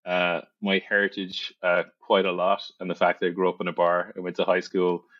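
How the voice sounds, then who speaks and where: Deep-voiced Irish man, dull recording. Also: monotone, a little fast. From Ireland. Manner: friendly, restarts words often. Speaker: guest, services executive. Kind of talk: conversational interview.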